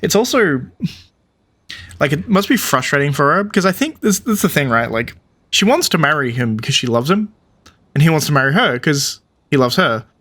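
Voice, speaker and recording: A man's voice: a little high, very animated, brisk, a bit bright. A man giving casual gameplay commentary, thinking aloud in a rambling way. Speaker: gaming streamer.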